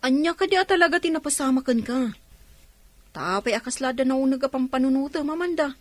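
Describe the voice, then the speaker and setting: Actress as high-pitched supportive young friend. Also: female voice, fairly quick, no room sound, natural voice. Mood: concerned, shocked. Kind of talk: Ilocano radio drama acting.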